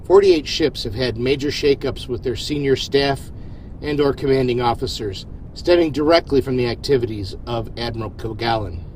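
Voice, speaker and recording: Starship captain, male actor: steady, modest, reflective; medium-pitched voice over a rumbling ship background. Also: normal speed, a little hiss or hum, lightly acted, poor audio. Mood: formal, composed. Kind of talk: science fiction audio drama acting.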